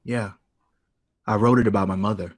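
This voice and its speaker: A man's voice: fairly low pitch, steady tone, moderate pace, music in the background, lightly acted. A man acting in an audio drama as a wounded, bitter 1960s jazz composer, sarcastic and theatrical in manner. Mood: softened.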